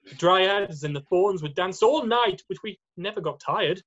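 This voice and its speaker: An amateur performer voicing a gentle, nervous male faun with a hesitant, apologetic manner in a screenplay readthrough. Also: medium pitch, expressive, normal speed, highs cut off. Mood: wistful, nostalgic. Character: nervous, guilt-ridden, gentle male faun, kindly host.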